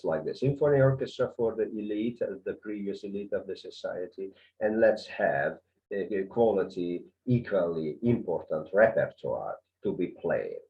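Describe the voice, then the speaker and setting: A man with a Hungarian accent, a conductor giving a hesitant lecture full of fillers, rising in pitch on key words. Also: muffled recording.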